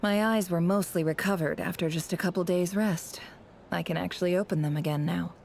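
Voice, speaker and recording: Low-voiced, composed, self-assured lady. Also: a little fast, vocal fry, a little mellow, slight background noise. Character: cool, composed, self-assured adult woman. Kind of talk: video game character acting.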